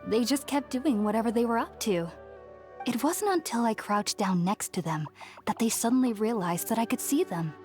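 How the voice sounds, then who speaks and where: A woman playing a gentle, high-voiced young scholar woman. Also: animated, close to an everyday voice. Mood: earnest, reassuring. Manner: earnest, measured storytelling, polite. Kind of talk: video game voice acting. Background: music.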